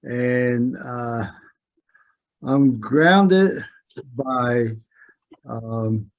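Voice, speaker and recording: A man from New Jersey introducing himself on a video call, halting with many fillers. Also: mid-range voice, poor audio. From New Jersey, United States. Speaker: meeting participant. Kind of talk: casual self-introduction.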